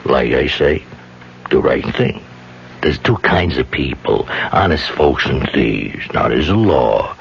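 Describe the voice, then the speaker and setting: A man's voice: extremely low pitch, animated, takes it slowly, vocal fry, exaggerated voice. An actor playing a sly, blunt jailed hitman with slangy, taunting speech in a radio drama. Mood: pressing. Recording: muffled, noisy vintage radio recording.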